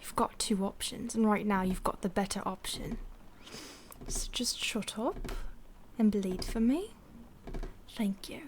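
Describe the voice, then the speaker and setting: A woman's voice: medium pitch, a little hiss or hum, natural voice. A woman voicing a whispering, taunting vampire. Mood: menacing. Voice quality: breathy whispering. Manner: slow, taunting and condescending. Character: predatory teasing female vampire stalker, possessive. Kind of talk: audio roleplay.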